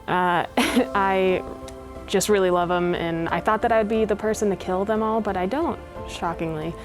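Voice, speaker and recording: A woman's voice: mid-range voice, moderate pace. A young woman from Colorado, a film student, speaking in a wry, self-deprecating way in an interview. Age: young adult. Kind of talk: documentary interview. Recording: music bed under the voice.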